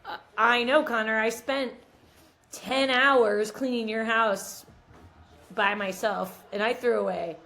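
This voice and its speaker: A woman's voice: a little high, steady tone, takes it slowly, gravelly, bright, forceful tone. An American woman in her thirties from Missouri co-hosting a podcast, chatting casually and telling stories in an informal, emphatic way. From Missouri, United States. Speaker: podcast co-host. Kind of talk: casual catch-up chat.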